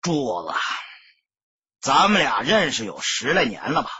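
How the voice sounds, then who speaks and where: A man's voice: mid-range voice, very animated, a little slow, pushed and bright, slightly rough, no room sound, lo-fi sound, pitched below the performer's own voice, heavily acted. A man voicing a veteran gang boss, menacing yet sincere and insistent, in an audiobook. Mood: earnest, reproachful. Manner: emphatic, insistent.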